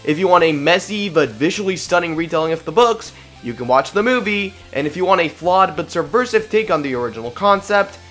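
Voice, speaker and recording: Fast, high-pitched young man narrating. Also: lively intonation, fairly bright tone, soft background music. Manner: opinionated, informal, slangy. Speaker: video essayist. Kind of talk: scripted video essay narration.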